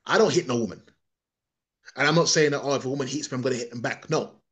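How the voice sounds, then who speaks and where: A man's voice: mid-range voice, quick pace, a bit bright. A man from England, giving a rambling, opinionated monologue on a podcast, often restarting and repeating his words.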